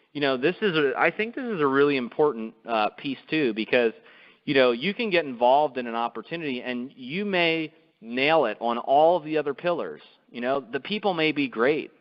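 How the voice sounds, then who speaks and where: Man pitching on a reverberant, muffled conference call. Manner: persuasive, conversational, frequent "you know". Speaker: company executive presenting.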